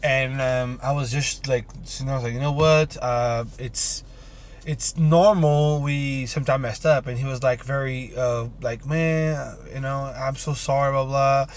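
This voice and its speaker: A man's voice: medium pitch, fairly even intonation, a little slow. A man, chatting casually to a phone camera as an entrepreneur vlogger, rambling and upbeat with lots of fillers and restarts. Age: 30s. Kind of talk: casual vlog talk to camera.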